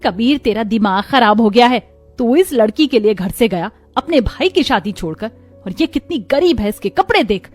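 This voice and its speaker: A woman's voice: fairly high pitch, animated, moderate pace, faint music behind. Woman voicing a haughty, scornful mother-in-law. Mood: outraged. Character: snobbish haughty rich middle-aged mother-in-law. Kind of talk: animated family drama character voice.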